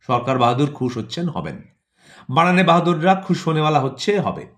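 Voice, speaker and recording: A man's voice: fairly high pitch, big pitch swings, fairly quick, a bit bright. A man narrating a satirical audio play theatrically, in rhythmic, repeating phrases with a note of mock wonder.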